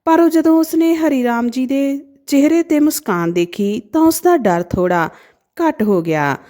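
A woman narrating a sad moral story from a script in a gentle, emotive storytelling manner. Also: sits high, animated, moderate pace.

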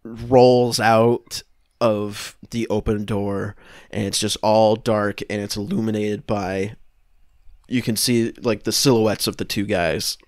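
Unhurried, lowish young man narrating. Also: fairly even intonation, no echo, clean studio sound. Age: young adult. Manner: halting, searching for words. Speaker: improv podcast performer. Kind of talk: improvised scene narration. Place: small room at home, video call.

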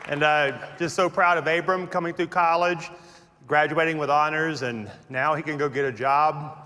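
A man's voice: fairly high pitch, steady tone, moderate pace, bright, forceful tone, some chatter behind, slight background noise. A middle-aged man preaching a sermon as pastor on a large church stage, chatty and joking. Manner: chatty and joking, laughing at his own jokes. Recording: reverberant church auditorium.

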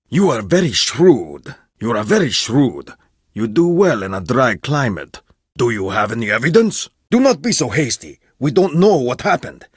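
A man's voice: mid-range voice, slightly rough, dry recording, studio quality. A man voicing a stern, proud archaeologist in a video game, blunt, haughty and distrustful, with dramatic swings in how he delivers each line. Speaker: game character voice.

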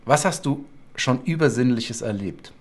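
A man's voice: medium pitch, normal speed. Male host, forties, middle voice, chatty anecdote teller, home studio video call. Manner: chatty storyteller, animated when telling anecdotes. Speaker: talk show host. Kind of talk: casual video-call interview. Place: home studio room with bookshelves and colored lights.

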